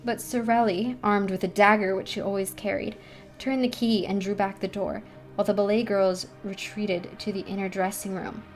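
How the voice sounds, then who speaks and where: A woman's voice: medium pitch, flat delivery, normal speed, faint music behind. A woman reading a classic novel aloud as scripted bedtime story narration. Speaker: storybook reader.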